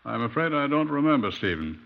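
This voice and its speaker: Sentimental British chief superintendent, formal, solemn, middle pitch, vintage radio drama, muffled audio. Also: male voice, very animated, vocal fry. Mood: solemn, wry. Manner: deliberate, rhetorical, formal. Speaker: actor presenting a radio crime drama. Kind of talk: 1950s radio acting, storytelling introduction. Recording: dull, muffled 1950s radio recording.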